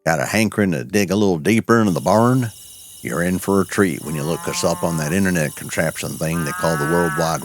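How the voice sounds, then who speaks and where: Deep-voiced folksy cowboy storyteller. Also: male voice, a little hiss or hum. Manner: folksy cowboy drawl with country sayings. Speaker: narrator and host. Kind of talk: scripted cozy storytelling narration.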